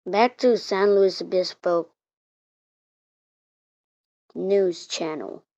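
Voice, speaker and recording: Slow, halting female announcer in a roomy space. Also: fairly low pitch, dark tone. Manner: halting, repeats and restarts words. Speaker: hobby narrator of a mock emergency alert. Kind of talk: mock emergency alert announcement, improvised. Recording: muffled, roomy home recording.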